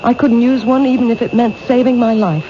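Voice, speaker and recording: A woman's voice: on the high side, fairly even intonation, fairly quick, natural voice. An actress playing a hesitant, frightened woman. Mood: anxious. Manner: hesitant and doubting. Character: frightened, reluctant young woman stalked by a killer. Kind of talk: 1970s radio drama acting. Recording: muffled old radio broadcast with hiss.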